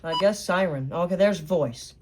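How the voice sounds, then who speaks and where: A woman's voice: fairly low pitch, moderate pace, loud music behind the voice. A woman giving casual, unscripted setup commentary, thinking aloud and muttering in short phrases. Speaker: product unboxing and setup presenter. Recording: clear background noise, button clicks.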